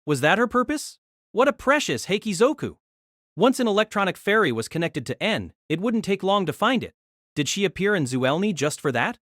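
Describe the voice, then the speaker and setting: A man's voice: high voice, big pitch swings, fairly quick, a bit bright, no room sound, studio quality. A man giving a dramatic scripted narration of an action fantasy story.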